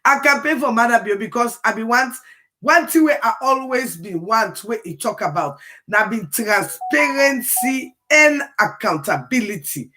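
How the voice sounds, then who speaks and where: A hoarse woman from Sierra Leone with a Sierra Leonean accent, talking casually on a livestream and repeating phrases for emphasis. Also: on the high side, lively intonation, a little slow, bright, forceful tone, slight background noise. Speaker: livestream commentator.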